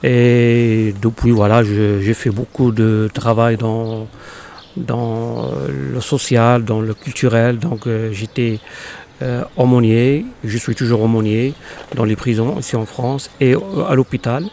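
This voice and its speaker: A man in his fifties from Morocco, whose first language is Arabic, speaking slowly in an interview with many pauses and fillers. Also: medium pitch, fairly even intonation, creaky, dark tone, a little hiss or hum. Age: 50s. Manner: halting, many fillers and restarts. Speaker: interviewed poet. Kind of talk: hesitant conversational interview. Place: local podcast studio.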